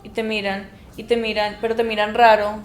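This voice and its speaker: A woman's voice: medium pitch, flat delivery, brisk, a little hiss or hum. A young woman, co-hosting a podcast in casual chat and making her point emphatically with repeated phrases. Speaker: podcast co-host. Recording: echoey room with faint ambience.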